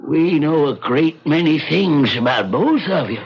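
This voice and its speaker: A man's voice: fairly high pitch, lively intonation, measured pace, breathy edge, exaggerated voice. An actor playing a courteous but sinister old man, speaking formally and cryptically in an old-time radio mystery. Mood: quietly menacing. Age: older. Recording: muffled, noisy vintage radio recording.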